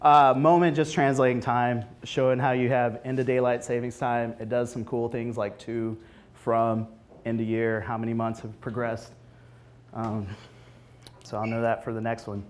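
A man in his forties presenting informally at a tech meetup, conversational, with animated asides, speaking into a lapel mic. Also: medium pitch, normal speed, slight background noise. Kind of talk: informal technical presentation with demos. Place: meetup room, standing before a small audience.

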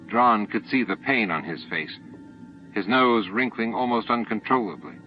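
A man's voice: on the low side, moderate pace. A man reading a novel aloud as an audiobook narrator, in scripted storytelling style. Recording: dull lo-fi tape audio with music. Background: added music and sound effects.

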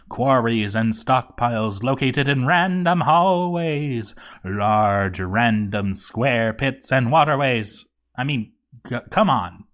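Man playing a grouchy undead dwarf, swooping pitch. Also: a bit bright. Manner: stammering, exasperated, hammy delivery. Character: grouchy, boozy undead dwarf zombie overseer, bumbling and exasperated. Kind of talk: dramatic reading of a game journal.

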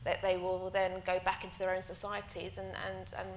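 A woman's voice: on the low side, completely flat delivery, a little fast. This is a woman whose first language is Greek, speaking as a teacher at a panel event. She talks earnestly and with animation, often restarting her sentences. The recording is roomy and echoey, with a faint hiss beneath it. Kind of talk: panel discussion answer. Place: conference hall. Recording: echoey hall with faint noise.

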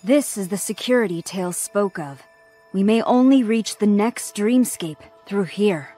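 A woman's voice: medium pitch, dark tone, soft background music, lightly acted. Solemn female dream guardian, played by a woman. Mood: calm, reassuring. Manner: measured, formal, grave. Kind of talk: video game cutscene acting.

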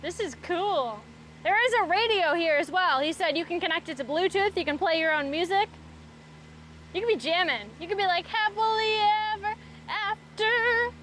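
A woman with a high voice hosts a casual travel vlog in an upbeat, playful way. She chats to viewers out in the open, with faint ambient noise behind her. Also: animated, brisk, fairly bright tone. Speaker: vlog host. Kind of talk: casual, chatty travel vlogging. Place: outdoors, mostly on a boat on a lake.

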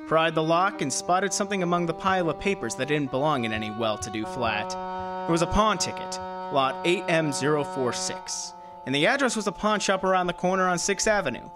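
A man's voice: fairly high pitch, animated, a little fast, music in the background, close to an everyday voice. A man playing a tough, wisecracking detective's assistant in an old-time radio drama, talking in breezy hard-boiled slang. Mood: hard-boiled narrating.

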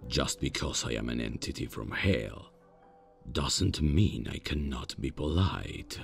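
A man acts out a polite but socially stiff demon from hell in an ASMR roleplay. The voice is extremely deep and gravelly, and the delivery is very slow. He talks formally, with awkward hesitations and a deadpan tone. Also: faint music behind, exaggerated voice. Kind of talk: ASMR roleplay, scripted comic monologue.

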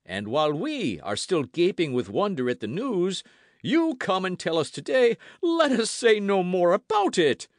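A man voicing a boastful, loud musketeer in an audiobook, theatrical and full of exclamations. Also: fairly high pitch, big pitch swings, normal speed, dry recording, studio quality, voice pushed up. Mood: indignant, scolding. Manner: theatrical, exclamatory, swooping emphasis. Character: boisterous, boastful swaggering musketeer, loud and self-satisfied.

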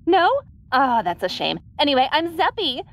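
A woman's voice: high voice, exaggerated voice. Hyper, bubbly alien racer girl, acted by a woman. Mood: bubbly, chatty. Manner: rambling, excitable, rapid topic jumps. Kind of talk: audio roleplay acting.

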